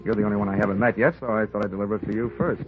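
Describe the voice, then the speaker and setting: Male actor playing hard-boiled American investigator, deadpan and wisecracking, vintage radio drama, narrow old recording with music behind. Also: on the low side, racing, natural voice. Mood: cool, probing. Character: wry, hard-boiled male insurance investigator narrating his case. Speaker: lead actor and narrator. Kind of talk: 1950s radio detective drama acting.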